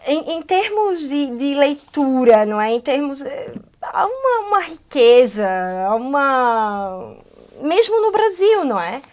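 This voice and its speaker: Northeastern Brazilian woman, high voice, radio co-host, chatty and reflective. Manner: animated, musing, many "não é?" tags. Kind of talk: casual conversation about poetry.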